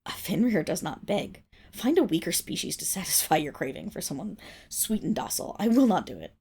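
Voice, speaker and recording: A young woman playing a proud, flustered noble Fenrir monstergirl in an ASMR roleplay, speaking formally with stammers and breathy, whispered dips. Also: lowish voice, sing-song, fairly quick, a little mellow, exaggerated voice. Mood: indignant, defiant. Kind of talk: ASMR roleplay acting, addressed to the listener.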